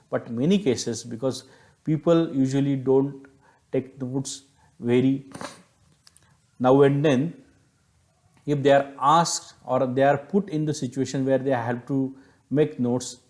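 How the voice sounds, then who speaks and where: Man, Indian accent, lecturer, mellow relaxed tone, mid-pitched, didactic, emphatic on key words, rephrases points. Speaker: teacher, lecturer. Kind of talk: explanatory lecture.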